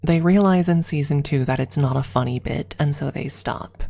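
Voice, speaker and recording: A woman's voice: deep voice, normal speed, vocal fry, mellow. A woman streamer rambling casually to her viewers on an ASMR livestream, talking in a gushing, hyperbolic fan's manner. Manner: gushing, hyperbolic fan talk. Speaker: streamer answering viewer chat.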